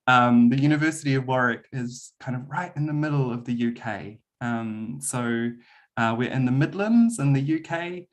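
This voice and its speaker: A man's voice: medium pitch, moderate pace. New Zealand man lecturing on a video call. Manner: friendly, frequent "um" fillers. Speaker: university lecturer. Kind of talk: webinar presentation.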